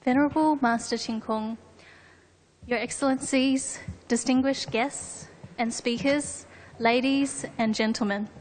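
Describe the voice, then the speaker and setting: A woman's voice: a little high, steady tone, a little slow. A woman from New Zealand with a New Zealand and Australian English accent, giving a formal, rehearsed conference presentation with a co-presenter. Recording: long hall reverb.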